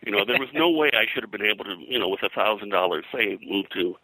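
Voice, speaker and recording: An older man reminiscing in an interview as a comics industry veteran, in a halting, rambling way peppered with "you know". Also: fairly low pitch, expressive, bright, forceful tone, no echo, rough recording.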